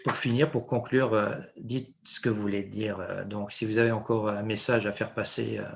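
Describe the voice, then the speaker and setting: A man from Luxembourg hosting an interview in conversational French, emphatic and animated, sometimes laughing as he talks. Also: lowish voice, normal speed, creaky. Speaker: interview host.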